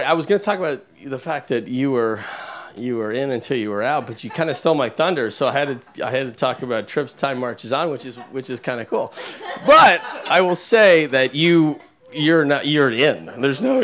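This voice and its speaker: A man in his thirties raises a toast at a family wedding dinner, speaking into a handheld mic. He jokes and repeats himself as he searches for words. His voice sits at an ordinary pitch. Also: a bit bright. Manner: halting, joking, repeats himself. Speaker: son giving a wedding toast. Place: candlelit tent at a dinner party.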